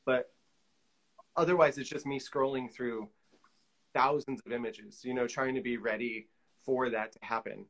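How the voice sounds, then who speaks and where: A man's voice: mid-range voice, fairly even intonation, moderate pace, pauses often. A middle-aged man being interviewed casually on a video call, thinking aloud in loose, hesitant fragments. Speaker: guest, collage artist. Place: indoor room, on a video call.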